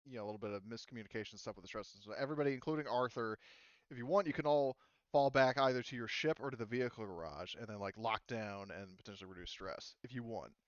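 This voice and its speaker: A man's voice: on the low side, fast talker, no room sound, highs cut off. A man speaking casually as game master of a tabletop game, explaining options in a conciliatory way.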